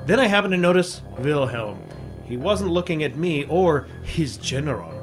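An actor playing a tough, sardonic American reporter in a 1950s radio drama, with wry, clipped delivery. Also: male voice, a little high, animated, moderate pace, soft background music, lightly acted. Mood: tense narration. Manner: hard-boiled, clipped, wry. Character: tough, sardonic American newspaperman hero and narrator.

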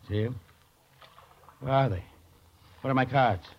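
A man playing a wealthy, cocky gambler who is quick to take offence, in theatrical 1940s radio acting. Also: medium pitch, highs cut off, lightly acted. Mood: indignant, accusing. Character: wealthy, cocky gambler turned indignant, accusing castaway. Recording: band-limited, faintly noisy old radio recording.

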